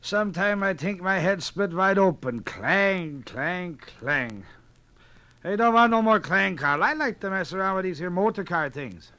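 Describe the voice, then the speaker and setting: A simple, complaining immigrant laborer in an old radio play. His voice is high and bright, swinging widely as he grumbles in broken, folksy English. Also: expressive, unhurried, exaggerated voice. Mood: weary, complaining. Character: weary immigrant blacksmith's helper, simple, complaining, eager tinkerer. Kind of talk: 1950s radio drama acting.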